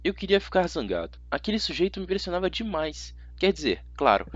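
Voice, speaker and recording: Casting: Brazilian male amateur narrator, expressive storytelling, highly varied intonation, dry close-miked recording. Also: brisk, dry recording, highs cut off.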